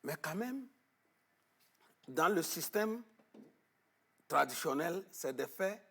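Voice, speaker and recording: A man speaks as a guest in a television studio interview, leaning hard on his points and stalling on fillers before a word comes. His voice is husky with a gravelly roughness. His pitch is fairly high and swings widely as he presses each point. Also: expressive, takes it slowly, sharp tone. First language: Arabic-educated, French not first. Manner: emphatic, hesitant fillers, repeats words. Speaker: guest on traditional knowledge. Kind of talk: studio interview, emphatic explaining.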